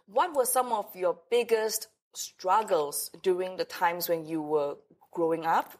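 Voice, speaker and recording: A woman's voice: on the low side, measured pace. A woman hosting a talk show, introducing and questioning her guest in an upbeat, promotional manner. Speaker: host.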